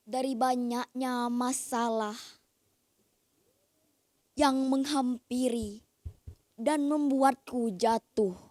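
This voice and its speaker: A woman recites poetry in a high voice at a very slow pace. Her delivery is solemn and declamatory, with dramatic pauses and a dry, close sound. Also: darkish tone, dry recording.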